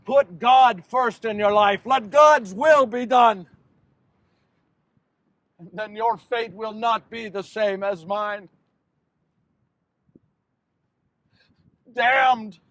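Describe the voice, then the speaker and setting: A man performs a damned, remorseful male betrayer in a biblical stage monologue. His voice is strikingly high for a man. He speaks with theatrical intensity and weighted pauses, tormented by his own guilt. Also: no room sound. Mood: grave, bitter. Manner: impassioned, dramatic pauses, swings from hushed to shouting. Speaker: actor performing a dramatic monologue.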